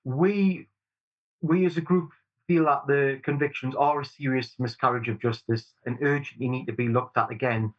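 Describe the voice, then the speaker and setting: A Geordie man in his forties answers interview questions in a sincere, steady way. The audio is slightly dull and lo-fi, with a middle-pitched voice. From Newcastle upon Tyne, England. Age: 40s. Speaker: campaign group chair, guest.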